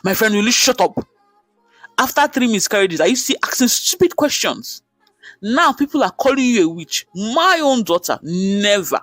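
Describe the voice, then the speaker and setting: A man's voice: high voice, sing-song, moderate pace, a bit bright, no room sound, soft background music, voice pushed up, exaggerated voice. A man voicing a vengeful older Nigerian mother in a folktale, indignant and berating, with exclamations. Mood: scolding.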